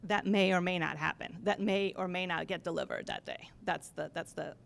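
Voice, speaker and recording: Gravelly-voiced woman telling her story. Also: lowish voice. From Poland. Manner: laughing, halting, candid. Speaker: panel speaker. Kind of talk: personal story at a talk. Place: office event space with audience.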